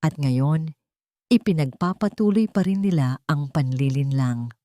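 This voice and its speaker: A woman's voice: low-pitched, animated, a little slow, dark tone, no room sound, studio quality. A woman voicing a determined female advocate in an audio story, formal, emphatic and accusing. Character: determined woman advocate presenting evidence in court.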